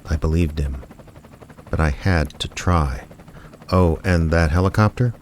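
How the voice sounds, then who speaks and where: A man playing a wry, reluctant small-town amateur detective, narrating and talking in a deadpan way in an audio drama. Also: very deep voice, a little slow, slight background noise. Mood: wry, reflective.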